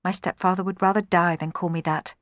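A woman voicing a gentle, wronged young kitchen girl in a storybook, halting and pleading but spirited. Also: on the low side, fairly even intonation, no room sound, clean studio sound, natural voice. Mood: wistful. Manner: stammering, pleading, muttering.

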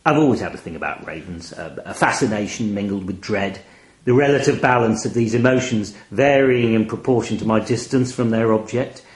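A man reading a first-person literary short story in an expressive, measured, wry manner. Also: fairly low pitch, echoey room. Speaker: audio story reader.

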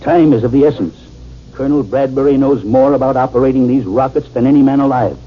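A man's voice: medium pitch, quick pace, slight background noise, close to an everyday voice. An American man acting in a 1950s radio drama, playing a weary, anxious, authoritative Air Force general. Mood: earnest, urgent. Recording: muffled 1950s radio recording.

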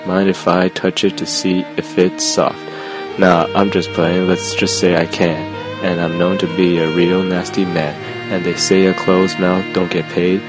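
A very deep-voiced man recites rap lyrics as a deadpan dramatic reading. His delivery stays nearly monotone, over clearly audible music. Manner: deadpan, recited like plain speech. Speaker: podcast co-host. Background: music behind the voice.

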